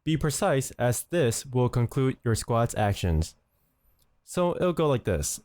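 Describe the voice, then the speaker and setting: A man's voice: mid-range voice, big pitch swings, unhurried, darkish tone, no room sound. A man giving scripted instructional narration as the host of a tutorial, speaking close to the mic.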